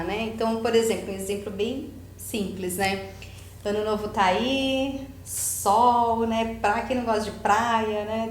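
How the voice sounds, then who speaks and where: Brazilian female host, slightly high voice, chatty and informal, roomy sound with faint noise. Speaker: podcast host. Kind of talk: casual conversational chat.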